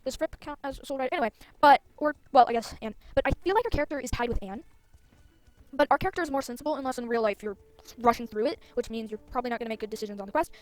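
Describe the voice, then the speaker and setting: A woman's voice: a little high, racing, dry recording. A woman giving casual gameplay commentary, chattily thinking aloud as she plays. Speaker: gamer narrating own play.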